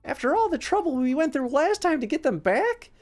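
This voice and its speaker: A plucky young boy monkey from a storybook, played by a woman reading aloud. He is excitable and emphatic, with a voice pushed up high and swooping widely. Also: moderate pace, soft background music, heavily acted. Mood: shocked. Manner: eager, emphatic, youthful.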